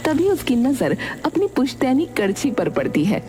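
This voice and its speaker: A woman's voice: high-pitched, big pitch swings, normal speed. A woman delivering scripted narration for a cartoon fairy tale in a storyteller's style.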